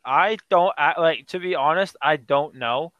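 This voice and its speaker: A teenage male podcast guest who answers with dry, deadpan jokes in a casual interview. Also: a little high, a little slow, no room sound, dull audio. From United States. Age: teens.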